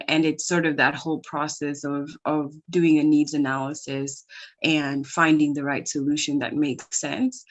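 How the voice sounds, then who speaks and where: Low-voiced woman, relaxed. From Kenya and Minnesota. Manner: relaxed, thoughtful, with frequent ums. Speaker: ed-tech founder, guest. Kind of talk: casual podcast interview.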